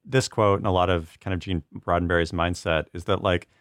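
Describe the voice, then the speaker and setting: A man co-hosting a podcast, talking in a relaxed, jokey way with plenty of filler words. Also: sits low, brisk, no echo, clean studio sound. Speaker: podcast co-host. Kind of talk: casual podcast discussion.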